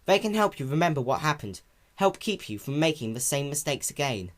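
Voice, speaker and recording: A performer voicing a caring female pony in a cartoon-based story reading, soft, patient and reassuring like a big sister. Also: mid-range voice, very animated, fairly quick, voice pushed up, lightly acted.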